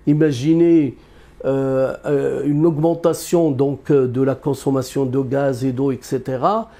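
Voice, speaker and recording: A man giving an unscripted interview as an economist, earnest and halting, with many fillers and restarts. He grew up in Algeria and has Berber roots. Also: mid-range voice, little pitch movement, dark tone.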